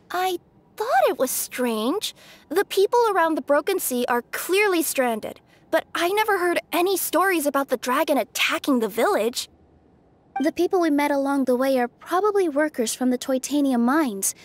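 High-voiced earnest young adventurer. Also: female voice. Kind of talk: anime game dubbing.

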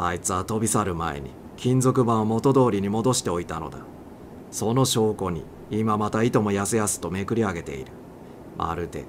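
A man reading a story aloud as a dramatic audiobook narrator. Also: fairly low pitch. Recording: clear background noise.

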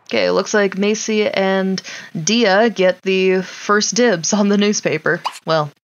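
A woman's voice: mid-range voice, fairly even intonation, slow, muffled recording, slight background noise. A woman giving casual, wry gameplay commentary, talking to her game characters as she plays. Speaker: gaming streamer.

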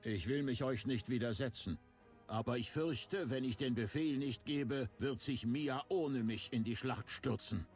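A man's voice: mid-range voice, a little slow, darkish tone, soft background music, lightly acted. Ageing monarch for a fantasy game, male actor, grave and formal, unbending, faint score behind. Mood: grave, resolute. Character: proud older king of a fallen, cursed kingdom. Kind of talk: video game voice acting.

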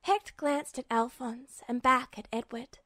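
A woman's voice: high voice, steady tone, measured pace, sharp tone, no echo, clean studio sound. A woman reading a scripted story aloud as an audiobook-style fan fiction narrator. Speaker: podfic narrator.

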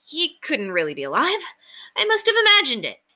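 Woman voicing an eager, high-pitched young storybook boy. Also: wildly swooping pitch, fairly quick, bright, forceful tone, heavily acted. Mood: amazed. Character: young boy, eager, imaginative, polite, brave.

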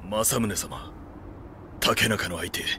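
A man's voice: on the low side, takes it slowly, very noisy. An actor playing a stern, protective samurai retainer in an anime audio drama, speaking firmly and formally to his lord. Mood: firm, dutiful. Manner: firm, formal, gruffly deferential. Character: stern, loyal samurai retainer, protective right-hand man.

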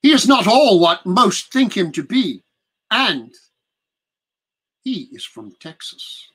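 A man's voice: sits high, sing-song, takes it slowly, a bit bright, slightly rough, voice pushed up, full character voice. A man voicing a proud young woman prisoner in a raised, earnest voice. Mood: defiant.

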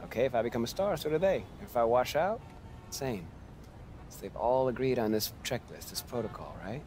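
An actor with an American accent playing a blunt, seasoned young point guard who lectures in emphatic rhetorical questions in a video game scene. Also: male voice, medium pitch, lively intonation, moderate pace, a little mellow, slight background noise, natural voice. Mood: blunt, frustrated. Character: blunt, seasoned former college point guard giving hard advice. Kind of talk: naturalistic video game cutscene dialogue.

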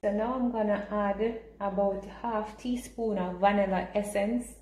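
A woman talks through a recipe in a casual, instructional way, at a slow, easy pace. Her mid-pitched voice is picked up in an echoey home kitchen. She explains each step simply. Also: steady tone, darkish tone. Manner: unhurried, simple instructional talk. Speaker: home cooking vlogger. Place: small home kitchen, indoors. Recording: roomy echo, clear background noise.

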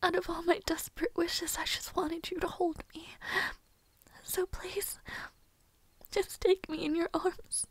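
A very high-pitched, breathy young maid, acted by a woman in an intimate audio roleplay. She is devoted and fragile, speaking very slowly in halting, tender half-whispers. The sound is close and dry. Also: little pitch movement, no echo. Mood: tender relief.

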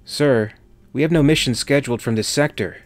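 A man's voice: mid-range voice, fairly quick, voice pushed up, lightly acted. A man voicing a very young, nervous military lieutenant in an audiobook, deferential and hesitant. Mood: nervous, polite. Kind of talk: audiobook character dialogue.

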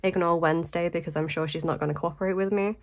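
A woman's voice: lowish voice, steady tone, quick pace. A woman talking casually in a vlog voice-over while sick, with a blocked nose, a sore throat and a voice that keeps fading, rambling with lots of ums and little laughs. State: congested and nasal from illness. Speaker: vlogger.

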